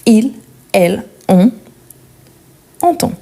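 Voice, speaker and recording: A woman's voice: fairly high pitch, big pitch swings, takes it slowly, creaky, dark tone. A woman with a non-native French accent giving a live French conjugation lesson, patiently repeating each verb form with fillers and asides. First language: not French. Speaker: French teacher.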